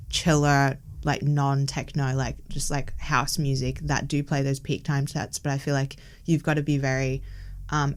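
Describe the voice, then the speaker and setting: A young woman from Hong Kong, raised in Melbourne, chatting casually as a podcast guest and filling her talk with "like". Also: extremely low pitch. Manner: chatty, many "like" fillers, laughs often. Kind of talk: casual interview chat.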